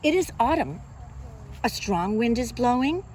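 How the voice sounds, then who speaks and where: A woman reading a picture book aloud to young children as a kindergarten teacher, in an expressive, teacherly way. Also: fairly high pitch, unhurried, a little hiss or hum. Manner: expressive, simple teacherly delivery with questions to young listeners.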